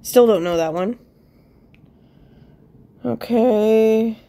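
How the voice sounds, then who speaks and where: Slow-paced mellow woman, stuffed-up nose. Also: on the high side. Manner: thinking aloud, self-deprecating. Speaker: player commenting on own gameplay. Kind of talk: casual voice-over on a word puzzle game.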